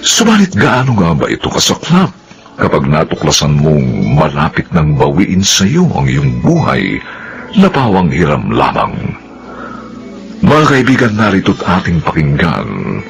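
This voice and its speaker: A man narrating a radio drama intro in a slow, solemn, drawn-out way. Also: a bass voice, wildly swooping pitch, creaky. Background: music.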